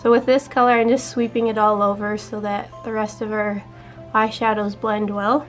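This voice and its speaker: A young woman chatting casually while doing her makeup, her voice a little raspy, walking through each step in a relaxed way. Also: medium pitch, normal speed, soft background music. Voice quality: slightly raspy. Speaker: beauty vlogger.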